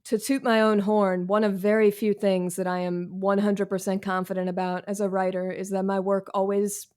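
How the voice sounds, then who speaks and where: A woman's voice: mid-range voice, flat delivery, normal speed, darkish tone, clear, resonant tone. A woman chatting casually as an interview guest, candid and wryly self-deprecating. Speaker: guest author and essayist.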